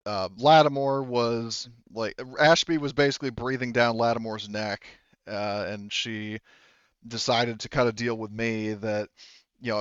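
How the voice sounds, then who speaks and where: A man running a tabletop roleplaying game, improvising his narration in a casual, halting, sweary way. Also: lowish voice, clean tone, no echo, lo-fi sound. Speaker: game master.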